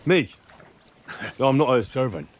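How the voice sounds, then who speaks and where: An actor playing an earnest young errand-running hero in a video game, polite but stubborn, with a straightforward manner. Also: male voice, mid-range voice, big pitch swings, measured pace. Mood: defensive.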